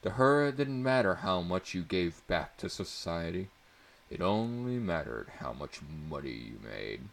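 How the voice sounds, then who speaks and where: Deep, creaky male story narrator. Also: sing-song, unhurried, fairly bright tone, a little hiss or hum. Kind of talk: dramatic first-person story reading.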